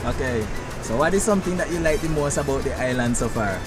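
A man's voice: medium pitch, animated, fast. A young man running casual street interviews on a sunny beach, upbeat and slangy, laughing often. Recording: music playing under the voice.

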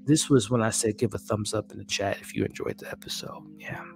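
A man's voice: fairly low pitch, fairly quick, creaky. Man, 30s, live-stream host, chatty, upbeat, close desk mic, small room, faint background music. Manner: chatty, upbeat, laughs often, thanks viewers. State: losing his voice. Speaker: livestream host. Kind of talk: casual live-stream chat reading comments. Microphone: studio mic on desk, close.

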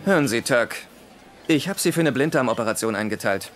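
An actor playing a dry senior hospital doctor in a dubbed TV comedy. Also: male voice, mid-range voice, animated, fairly quick, lightly acted. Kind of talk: German TV comedy dub acting. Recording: music and chatter behind.

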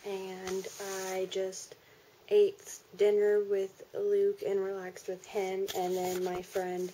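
A woman in her twenties talks to a handheld camera at home in a mid-pitched voice. She rambles along in loose, colloquial chat. Clear background noise sits behind her. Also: warm tone. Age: 20s. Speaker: vlogger. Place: small room at home, indoors. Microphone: handheld camera at arm's length. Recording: noisy handheld camera in a room.

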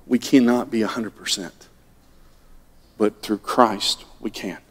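A middle-aged man preaching a sermon from a pulpit, swinging between loud animated lines and hushed ones. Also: fairly low pitch, slow pace, breathy edge. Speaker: pastor. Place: church sanctuary at a pulpit. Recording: long reverb of a church hall.

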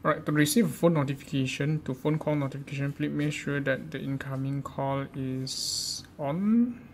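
A man's voice: medium pitch, normal speed, a little mellow. A man giving an informal step-by-step gadget tutorial in a hesitant, repetitive way. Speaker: presenter of a gadget how-to guide. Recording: clearly noisy amateur recording.

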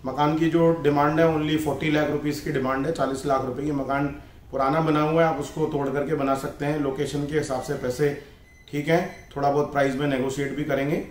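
A man's voice: on the high side, level tone, brisk, slight background noise. A man in his thirties presenting a house for sale in a plain conversational vlog, with hesitation fillers. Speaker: property dealer. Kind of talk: real estate listing vlog. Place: indoor office with decor shelves, then outdoors walking through a lane.

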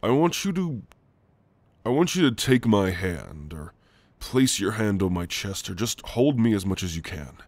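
Gentle, playful shadow demon played by a man for ASMR roleplay: low-pitched, unhurried, soft and reassuring. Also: big pitch swings, dry recording, exaggerated voice. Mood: eager, tender. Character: gentle, teasing male sleep paralysis shadow demon. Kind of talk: ASMR roleplay, intimate and slow. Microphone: close, dry microphone.

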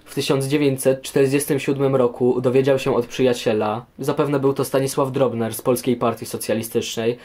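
A man's voice: mid-range voice, level tone, moderate pace, hardly any pauses, a little mellow. A young man reading scripted history commentary as a guest on an audio programme.

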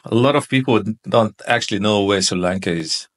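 Thirties male host; encouraging and upbeat, slightly low voice, studio boom mic. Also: brisk. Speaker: podcast host. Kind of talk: podcast interview. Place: studio with podcast set. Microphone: boom mic on arm.

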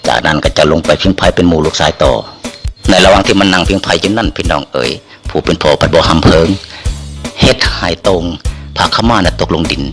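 A man with an Isan accent narrating a comic folk tale in a folksy way, often addressing listeners directly. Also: sits low. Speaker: storyteller. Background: music.

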